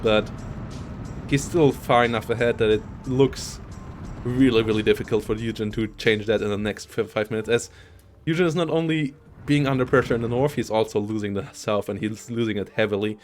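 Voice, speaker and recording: A man's voice: lowish voice, steady tone, normal speed. Chatty male game caster over faint music. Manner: analytical, chatty, laughs often. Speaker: esports caster. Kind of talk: live game commentary.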